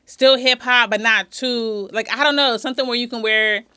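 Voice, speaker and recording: A woman's voice: a little high, steady tone, moderate pace, bright, forceful tone, smooth, no room sound. A woman in casual, playful conversation, teasing and stretching out words. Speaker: guest. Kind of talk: casual chat.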